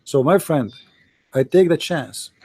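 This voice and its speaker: A man's voice: on the high side, unhurried, a little mellow, slightly rough. A man with an Arabic accent, a native Arabic speaker, arguing emphatically as host of a call-in religious debate in repetitive, non-standard English.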